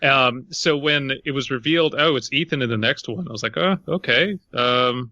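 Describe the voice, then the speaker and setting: A man co-hosts a casual podcast discussion in a very bright, forceful voice. The sound is dry and close, with little room around it. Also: medium pitch, moderate pace, dry recording, lo-fi sound. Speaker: podcast co-host.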